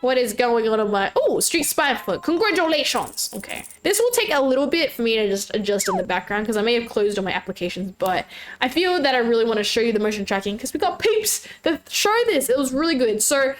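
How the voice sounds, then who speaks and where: A woman's voice: a little high, big pitch swings, a little fast, a little hiss or hum. A woman streaming a fishing game, chatting casually in a bubbly way, with a slight sore throat. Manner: bubbly, chatty, frequent squeals and laughs. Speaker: VTuber streamer. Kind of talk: casual live-stream chat.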